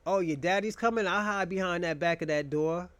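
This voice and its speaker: A man's voice: high voice, fairly quick, a bit bright, dry recording. A young man hosting a casual monologue, rambling and joking in a crude, profane way. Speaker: podcast host.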